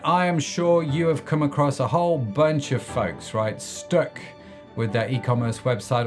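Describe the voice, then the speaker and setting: Man, podcast host, enthusiastic, mid-range pitch, faint music behind. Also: darkish tone. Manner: upbeat, enthusiastic, laughs readily. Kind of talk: scripted intro then casual interview chat.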